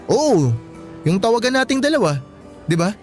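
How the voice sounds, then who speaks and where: A high-pitched young Filipino man acting as a schoolboy boyfriend in a radio drama. He is blunt and insistent, yet playful and sweet, with faint music behind him. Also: sing-song, normal speed.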